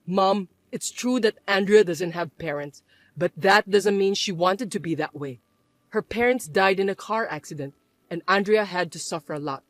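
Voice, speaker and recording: A woman voicing a calm adult son and husband who explains things to his mother in a firm, patient way. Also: medium pitch, moderate pace, no room sound, close to an everyday voice. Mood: pleading. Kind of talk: dramatized story voice acting.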